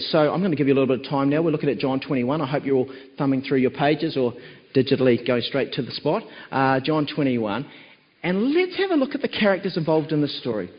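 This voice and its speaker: Croaky New man, casual stand-in preacher, lots of ums, recorded dull in a reverberant church hall. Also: fairly high pitch. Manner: chatty, with many ums and tag questions. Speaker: lay preacher standing in for the pastor.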